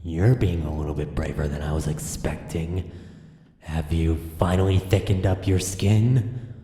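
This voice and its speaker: Deep slow taunting masked monster, audio drama villain, echoey hall. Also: male voice, steady tone. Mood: mocking menace. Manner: slow, taunting, singsong rhyming. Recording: echoing hall reverb, loud music behind.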